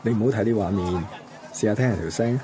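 A man's voice: fairly low pitch, fast talker, crowd noise, background noise, lightly acted. An actor playing an easygoing, thoughtful male amateur photographer in a radio drama, speaking casually and directly in Hong Kong Cantonese. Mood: reflective.

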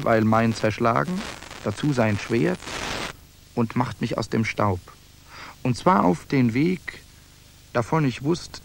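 Gritty old-time soldier telling his war story, radio play, creaky voice, archaic wording. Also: medium pitch, slight background noise, rough recording. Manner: archaic, clipped storytelling diction. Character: hardened soldier-adventurer telling his own tale. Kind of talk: dramatised first-person narration in German radio drama.

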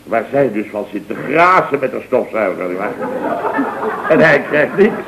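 An actor playing a blustering, self-important middle-aged boss telling indignant, rambling stories in 1970s radio comedy style. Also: male voice, mid-range voice, very animated, normal speed, noisy background. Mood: indignant, mocking. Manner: exclamatory, rambling, rhetorical questions. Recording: dull vintage radio recording.

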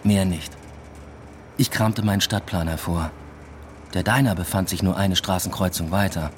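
Man playing low-voiced, restrained introspective student. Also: fairly even intonation, normal speed, a little hiss or hum, close to an everyday voice. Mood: reflective, restrained. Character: weary, hesitant male doctoral student, introspective first-person narrator. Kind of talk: German radio drama narration and dialogue.

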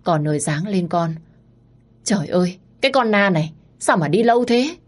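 A woman voicing a stern, doting old landowner father who gives gruff orders. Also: lowish voice, moderate pace, a little mellow.

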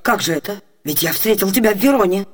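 An actress playing a passionate young woman disguised as a gentleman, commanding and theatrically emotional, in a radio play. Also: mid-range voice, lively intonation, fast talker, husky. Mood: anxious, probing. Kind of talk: Soviet radio theatre acting.

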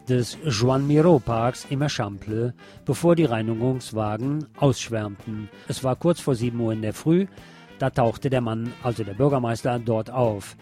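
A man's voice: mid-range voice, a little mellow. A man presenting scripted local radio news as a narrator. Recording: music playing behind the voice. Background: background music.

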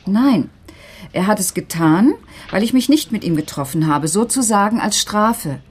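An actress playing an indignant, anxious forty-year-old schoolteacher in a radio drama, agitated and easily flustered. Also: female voice, mid-range voice, animated, normal speed, husky. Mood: hesitant, insistent. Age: middle-aged.

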